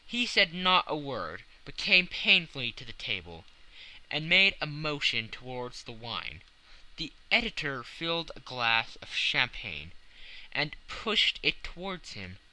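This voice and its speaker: A man's voice: medium pitch, pushed and bright, low-quality recording. A man narrating classic fiction as a dramatic scripted audiobook.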